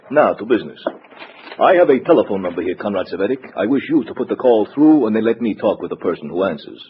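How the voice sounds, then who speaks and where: Haughty courier guy, formal, secretive, lively low voice, muffled old radio drama recording. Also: noisy background. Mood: formal, commanding. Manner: formal, imperious, deliberate. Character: haughty, secretive male Eastern European courier and veteran agent. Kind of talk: 1950s radio drama acting.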